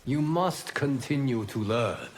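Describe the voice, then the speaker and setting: An actor playing a wise, calm protector god in a video game, speaking in a solemn, measured, formal way. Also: male voice, mid-range voice. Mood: calm, grave. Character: wise, calm male god protecting Earth, stern but kind. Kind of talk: video game dramatic dialogue. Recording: noisy game capture with clicks.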